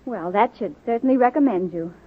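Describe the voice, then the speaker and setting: An actress playing a kindly but exacting superintendent of nurses in 1940s radio drama style, speaking formally and deliberately. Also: female voice, a little high, animated, slightly rough. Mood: politely appraising. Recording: muffled phone-like vintage radio recording.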